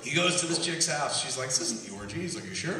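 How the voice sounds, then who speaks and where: A man's voice: on the high side, expressive, quick pace. A man hosting a live comedy podcast, reading news stories aloud and riffing on them with profane, mocking voices. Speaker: podcast host. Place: campus stage before a live audience. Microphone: hand-held camera recording from the audience. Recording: echoey hall, camera from far off. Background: laughing crowd.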